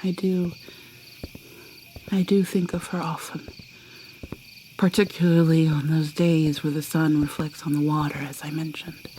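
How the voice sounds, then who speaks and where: A woman playing an ancient vampire mermaid in an ASMR roleplay, whispering softly and haltingly with a wistful manner. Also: low-pitched, flat delivery, a little hiss or hum, poor audio. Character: ancient female vampire mermaid, guarded and sorrowful.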